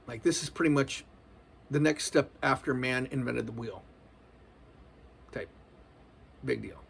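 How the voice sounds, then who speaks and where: A man's voice: medium pitch, unhurried, gravelly, husky. A man in his forties giving a loose, earnest spiritual monologue, casual and full of slang. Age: 40s. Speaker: spiritual vlogger.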